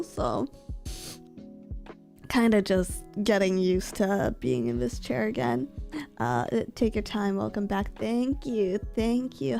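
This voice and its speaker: A sick woman streamer speaks softly right into a close mic, her husky voice congested and mellow. She chats casually and reads out donations with a quiet, worn-down delivery. Faint music plays under her. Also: on the high side. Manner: soft-spoken, mic held close. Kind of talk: casual chat reading donations. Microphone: close desk mic.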